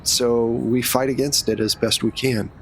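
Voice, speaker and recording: A man's voice: medium pitch, steady tone, measured pace, a little hiss or hum, lightly acted. A man playing a businesslike, protective female secret agent recruiter in a tabletop game, explaining things in a matter-of-fact, reassuring way.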